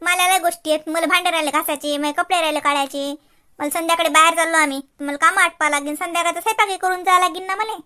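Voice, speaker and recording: Woman voicing a high, sarcastic cartoon village daughter-in-law. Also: fairly even intonation, fast talker, sharp tone. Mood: sarcastic, mocking. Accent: Varhadi Marathi from Vidarbha. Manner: mocking, repetitive, gossipy. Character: sarcastic, chatty young village daughter-in-law, busy housewife. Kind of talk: exaggerated cartoon comedy acting.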